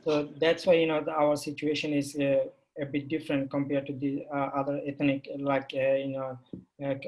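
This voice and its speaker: A man who grew up speaking Rohingya, a panel guest answering questions in halting speech with many restarts and fillers. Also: medium pitch, monotone, a little mellow, rough recording. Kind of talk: panel discussion.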